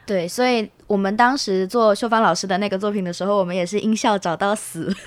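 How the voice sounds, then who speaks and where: A woman's voice: mid-range voice, steady tone, fast, no room sound. Young Taiwanese woman with a dry, close sound. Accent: Taiwanese Mandarin. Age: young adult. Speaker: student interviewee. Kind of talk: casual interview answers.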